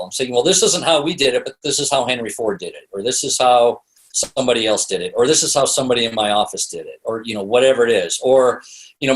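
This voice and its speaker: A North American man talks as a guest expert in a casual interview. His pitch sits in an ordinary male range, and he explains in a loose, conversational way. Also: fairly bright tone, low-quality recording. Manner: conversational, explains through hypothetical examples and quoted lines. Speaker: interview guest, author and storytelling consultant.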